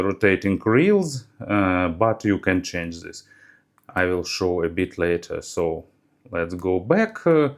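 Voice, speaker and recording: A man narrating a hands-on product review as a reviewer, speaking in halting, hesitant phrases. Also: low voice.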